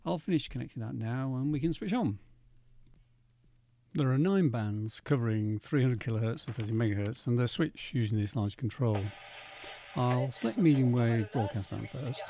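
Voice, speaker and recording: A man narrating an instructional equipment demonstration in a measured, methodical way. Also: on the low side, dark tone. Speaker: presenter demonstrating vintage radio equipment.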